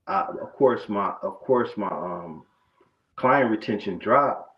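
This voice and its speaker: This is a man in his forties with a gravelly, fairly low voice. He tells his story loosely, starting over and repeating himself as he talks. The recording sounds dull and muffled. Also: expressive, takes it slowly. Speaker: barber guest. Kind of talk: casual interview talk.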